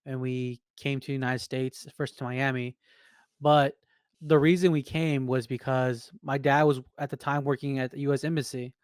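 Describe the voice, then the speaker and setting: A young adult man from Peru, co-hosting a podcast and telling his family story in a relaxed, casual way with fillers. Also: medium pitch, clean tone, no room sound, studio quality. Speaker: podcast co-host.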